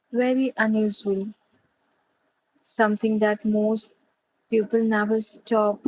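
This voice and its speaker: A woman leading a guided sleep meditation, speaking gently and soothingly in short phrases with long pauses. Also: medium pitch, no echo, rough recording.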